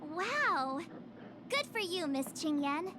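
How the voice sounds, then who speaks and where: A woman's voice: very high-pitched, big pitch swings, takes it slowly, noisy background, heavily acted. A woman voicing a perky, boastful, bubbly young girl adventurer in a video game. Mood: curious, friendly. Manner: bubbly, exclamatory, eager.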